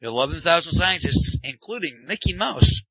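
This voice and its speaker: A man's voice: a little high, huge pitch swings, normal speed, a bit bright, breathy edge. Sarcastic Canadian man hosting. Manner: sarcastic, joking. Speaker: podcast host. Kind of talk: casual chat. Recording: dull lo-fi dry sound.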